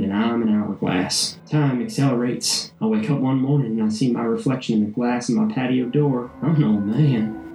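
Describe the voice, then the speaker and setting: Man playing a confessional, mellow-voiced banker in echo. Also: medium pitch, lightly acted. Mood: weary, overwhelmed. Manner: poetic, intense first-person confession. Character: driven, burnt-out male investment banker, confessional and poetic. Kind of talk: dramatic monologue in a 1940s-style radio play. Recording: crackling old-radio fuzz, roomy echo, music behind.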